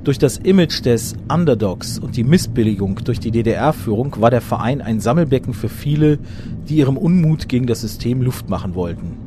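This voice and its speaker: A man narrating a scripted documentary in a measured, factual reading voice. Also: medium pitch, expressive, noisy background.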